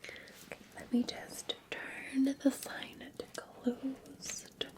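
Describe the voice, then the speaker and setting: Female, Scottish, ASMR barista roleplay: breathy whisper, gentle, very unhurried. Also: high voice, level tone. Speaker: ASMR performer playing a café barista. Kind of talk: soft-spoken ASMR roleplay.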